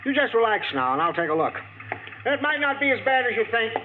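A brisk, reassuring doctor speaks fast in a higher voice, calming a frightened woman. It sounds like a tinny old radio broadcast with clear noise behind. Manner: brisk, soothing. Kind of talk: 1940s radio drama acting.

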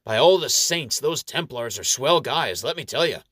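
A man's voice: medium pitch, expressive, normal speed, fairly bright tone, slightly rough, no room sound. A man voicing a jovial, irreverent clergyman who schemes and talks in a folksy, wry way in an audio story. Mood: cheerful.

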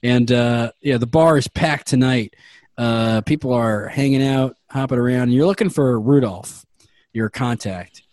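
A man's voice: mid-range voice, a little slow, darkish tone, no echo. A man narrating a comedic tabletop game as game master, rambling with lots of fillers. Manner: rambling, many fillers, laughs often.

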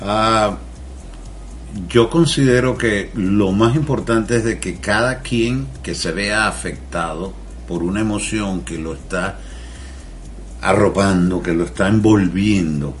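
Venezuelan guy with a real low voice, explaining stuff earnestly on a radio show. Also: takes it slowly, slight background noise. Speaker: guest on mental health. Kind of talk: radio interview.

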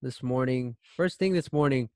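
A man's voice: medium pitch, moderate pace, mellow, no echo. Male co-host with a Texan accent, meandering and profane, dry close-miked sound. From Texas. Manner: rambling, profane, trails off. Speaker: podcast co-host. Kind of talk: casual chat.

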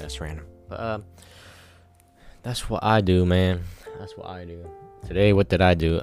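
A man's voice: sits low, creaky, darkish tone. A twenty-one-year-old man hosting a solo podcast, rambling casually with lots of swearing and pep-talk energy. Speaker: podcast host.

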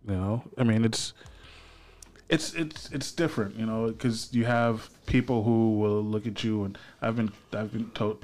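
Young man, low voice, laid-back podcast chat, swears a lot, lots of ums. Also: a little mellow. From Haitian family, raised in America. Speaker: podcast co-host. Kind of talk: casual chat.

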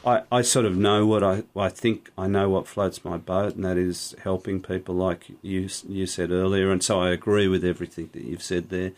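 Low-voiced man, rambling podcast host. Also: little pitch movement, normal speed, hardly any pauses, darkish tone. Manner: rambling, self-correcting, laughs often. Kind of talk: unscripted podcast chat. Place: small recording studio. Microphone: studio microphone.

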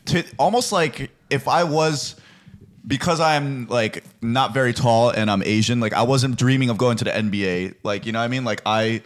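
A man's voice: mid-range voice, moderate pace. A man with a mid-range voice hosts a podcast, working through his thought as he goes. He restarts often and checks whether he is making sense. His delivery stays loose and casual. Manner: searching, hesitant, many restarts. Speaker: podcast host.

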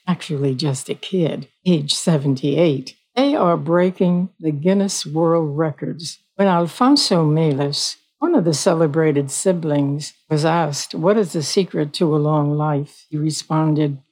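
A woman's voice: low voice, takes it slowly, hardly any pauses, darkish tone, husky. An older woman hosting her own show, talking in a chatty, folksy way and repeating her points. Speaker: host. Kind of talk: solo podcast talk.

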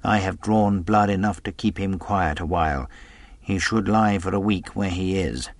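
A man's voice: deep voice, normal speed, no echo. A man doing a stern, blunt doctor. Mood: grave. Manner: firm, warning. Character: stern male country doctor, firm and blunt.

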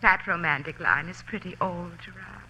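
Worldly society woman, catty, teasing, sharp tone, vintage radio drama, slight background noise. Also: lowish voice, normal speed. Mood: bored, teasing. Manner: bored, catty, flirtatious. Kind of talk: 1940s radio drama acting. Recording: faintly noisy old radio recording.

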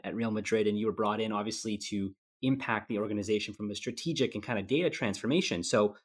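A man's voice: lowish voice, husky. A man hosting a podcast, introducing a guest in a chatty, easygoing way. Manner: chatty, easygoing, with fillers and restarts. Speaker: podcast host.

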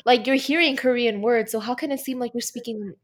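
A young woman from the United States co-hosting a podcast, chatting casually in an animated, exclamatory way with laughs. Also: a little high, a little fast. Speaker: podcast co-host. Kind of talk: casual chat.

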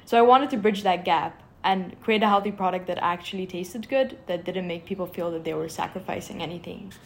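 A woman's voice: on the low side, a little fast, creaky. A young woman from Egypt, a startup founder, answering interview questions in an earnest, eager way with lots of "like" fillers. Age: young adult.

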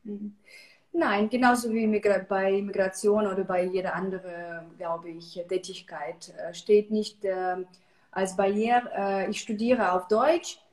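A woman whose first language is Russian, a therapist-coach answering interview questions with halting speech and many filler sounds over a video call. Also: medium pitch, steady tone, darkish tone, reverberant, low-quality recording.

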